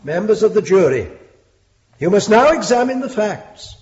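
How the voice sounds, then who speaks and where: An actor playing a stern, lofty Victorian judge with an English accent, formal and measured, in a British radio drama. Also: male voice, sits high, very animated, a little mellow, slight background noise. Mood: grave. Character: stern, lofty Victorian judge presiding over a murder trial. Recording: dull, muffled lo-fi drama recording.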